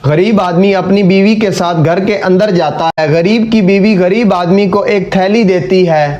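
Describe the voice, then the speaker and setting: A man's voice: high-pitched, fairly even intonation, measured pace, darkish tone, highs cut off. A man delivering scripted narration for a cartoon moral story.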